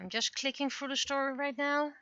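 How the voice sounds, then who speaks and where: A woman with a bright, slightly high voice chats casually on a livestream. She thinks out loud, asking herself questions and muttering as she works. The sound is dry and close but a little dull and lo-fi. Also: fairly even intonation, a little fast, dry recording. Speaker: livestreamer, webcomic and visual novel creator. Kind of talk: casual livestream chat, thinking aloud while working.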